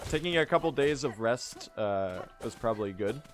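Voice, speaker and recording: A young man chatting casually to his stream while speedrunning, self-mocking and quick to laugh, his voice still recovering from strain. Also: medium pitch, lively intonation, music in the background.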